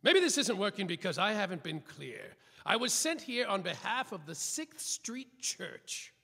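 An actor playing a world-weary, boozy, sarcastic private detective in a slapstick noir radio mystery, with a wry, dramatic delivery. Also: male voice, sits high, sing-song, a little slow, gravelly, sharp tone, husky, heavily acted. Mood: probing, sardonic. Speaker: detective and narrator.

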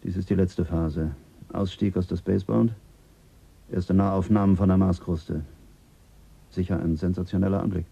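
An actor playing an observatory officer taking a call, formal and businesslike in a radio drama. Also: male voice, sits low, steady tone, normal speed, pauses often, mellow, lo-fi sound, heavily acted. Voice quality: gravelly, businesslike. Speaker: observatory staff. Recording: noisy radio play recording.

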